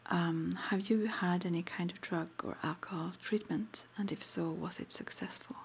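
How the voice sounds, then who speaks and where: A woman speaks in a breathy, gentle whisper, slightly low in pitch, with a creaky edge. She plays an interviewer in an ASMR roleplay, putting questions hesitantly with soft pauses. A faint noise sits behind her voice. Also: steady tone.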